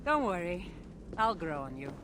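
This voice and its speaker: An actress playing a world-weary, self-assured ancient warrior with a measured, earnest delivery in a video game. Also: female voice, lowish voice, big pitch swings. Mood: confident. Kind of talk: video game dramatic dialogue. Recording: clear environmental game noise behind voice. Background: game ambience.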